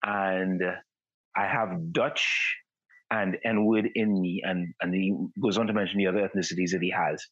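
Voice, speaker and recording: A middle-aged man from Trinidad talks in a relaxed conversational way, often stopping to repeat himself as he looks for the right words. His voice is husky and sits dry and close to the mic, with no room sound. His colors the speech. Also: sits low, no room sound, lo-fi sound. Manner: halting, repeats words while searching for phrasing. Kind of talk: casual chat.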